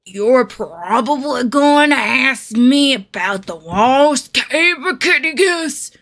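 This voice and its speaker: A woman's voice: high voice, animated, slow, fairly bright tone, exaggerated voice. A woman voicing a cranky, scolding old man in a fantasy game character demo. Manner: grumbling, scolding. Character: cranky old man guarding his fields and petunias.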